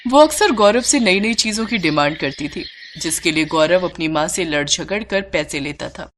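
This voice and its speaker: A woman narrating a scripted moral story in an expressive storyteller's style. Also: fairly low pitch, moderate pace, a little hiss or hum.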